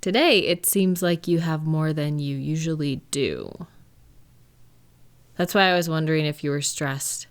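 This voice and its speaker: A woman playing a caring, reassuring live-in partner in an intimate audio roleplay, speaking gently and conversationally with thoughtful, hesitant pauses. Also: sits low, animated. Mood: gentle, understanding. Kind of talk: intimate one-sided comfort roleplay, conversational acting.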